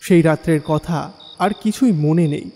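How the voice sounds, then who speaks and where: A man's voice: on the high side, a little slow, mellow. An actor playing a troubled young man who narrates his own ghostly tale in a dramatic audio story. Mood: suspenseful recounting. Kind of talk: dramatic first-person horror story narration. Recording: long reverb, faint music bed.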